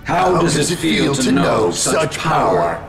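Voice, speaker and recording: An actor playing a grandiose, menacing robot villain who claims to be a god in a video game. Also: male voice, fairly high pitch, very animated, slow, creaky, heavily acted. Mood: gloating menace. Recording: echoing, distant, under loud game music.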